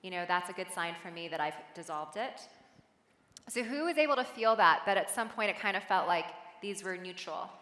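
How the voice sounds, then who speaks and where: Bright-voiced woman speaker in a hall. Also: lowish voice. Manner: soft, slow guiding then lively chatty with laughs. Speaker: keynote speaker leading a meditation. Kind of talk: guided meditation and audience talk. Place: large hall on a stage.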